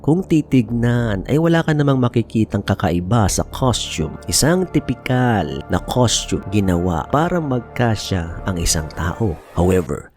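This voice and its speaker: A man's voice: fairly low pitch, sing-song, measured pace, hardly any pauses, a little mellow, soft background music. A Filipino man narrating a scripted horror explainer as a storyteller, mixing in English phrases. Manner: storytelling, switches between Tagalog and English phrases. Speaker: YouTube narrator.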